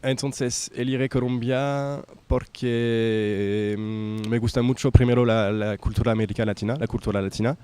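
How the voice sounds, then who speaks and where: A young man from France with a French accent, a native French speaker, giving an informal testimonial as a student and haltingly searching for words in a second language. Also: mid-range voice, fairly even intonation, warm tone, clean tone. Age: young adult.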